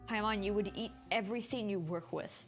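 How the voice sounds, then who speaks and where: A woman's voice: medium pitch, a little fast, loud music behind the voice. A woman game streamer giving casual playthrough commentary and reading game text aloud.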